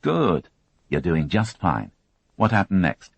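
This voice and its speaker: A man voicing a gentle male police detective, asking questions in a calm, steady way. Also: low-pitched, slow pace, vocal fry, breathy edge, no echo, lo-fi sound, pitched above the performer's own voice, full character voice.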